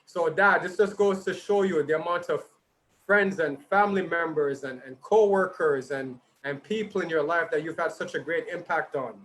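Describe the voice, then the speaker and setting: Slightly high-voiced man, tiring voice. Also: moderate pace, fairly bright tone, slight background noise. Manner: informal, chatty, slight stumbles. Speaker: son hosting a birthday tribute. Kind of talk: reading out tribute messages with personal asides.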